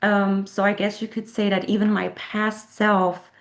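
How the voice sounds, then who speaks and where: A woman whose first language is German, an author answering interview questions thoughtfully, with frequent fillers and soft laughs. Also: medium pitch, flat delivery, a little slow. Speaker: author guest.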